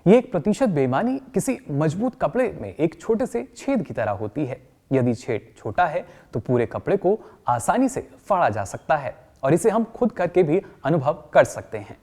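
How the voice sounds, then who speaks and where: Man acting as an animated, encouraging workshop trainer. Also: fairly high pitch, normal speed. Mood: instructive. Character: encouraging male trainer of company directors, patient and instructive. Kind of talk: scripted training-session lecture with questions to the group.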